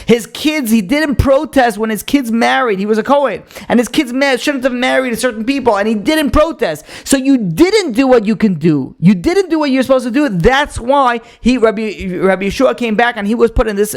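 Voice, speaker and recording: A man's voice: extremely high pitch, lively intonation, brisk, hardly any pauses. A man, a rabbi, giving a casual Torah lesson, explaining with rhetorical questions and frequent restarts. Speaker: rabbi teaching a Torah class.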